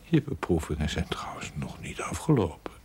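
Very deep, sardonic man's voice. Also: sing-song, normal speed, a little mellow, in a whisper. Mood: hushed, taunting. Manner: mocking, knowing. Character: sardonic, teasing inner voice needling a priest. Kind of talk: Dutch television drama acting.

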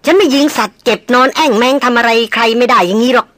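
Woman putting on a stubborn, shrill young woman doctor. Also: fast, fairly bright tone, no echo, close to an everyday voice. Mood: refusing. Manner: high-pitched, insistent.

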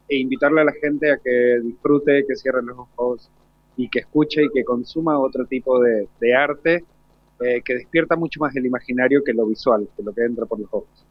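A man's voice: mid-range voice, dry recording. A male teacher with an Argentine accent, explaining things articulately in an interview. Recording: dull, dry radio sound.

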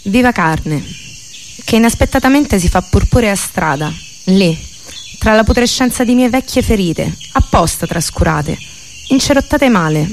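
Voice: mid-range voice, lively intonation, moderate pace, slight background noise. A performer reciting a poetic monologue in a theatrical, literary manner. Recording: music behind the voice. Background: music.

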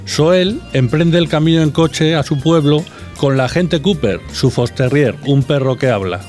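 Higher-pitched male radio presenter. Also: a little mellow. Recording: music behind the voice.

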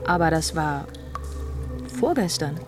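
An actress playing a suspicious wife in a German radio drama, composed but hurt, questioning tentatively and persistently. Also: female voice, deep voice, very animated, slow, music in the background, heavily acted. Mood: probing, suspicious.

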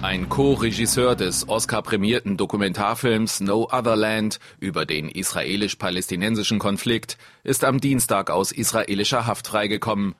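A man's voice: fairly low pitch, moderate pace, hardly any pauses, fairly bright tone, dry recording. A man reading a scripted news report as a narrator. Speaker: news reader.

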